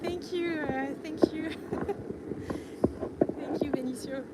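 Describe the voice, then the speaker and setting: Brief: woman vlogger, high voice, slow and hesitant, loud city street behind. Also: fairly even intonation, very noisy. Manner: hesitant, searching for words, light laughs. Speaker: live-stream vlogger. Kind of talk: casual live-stream walking tour. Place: outdoors on busy city streets.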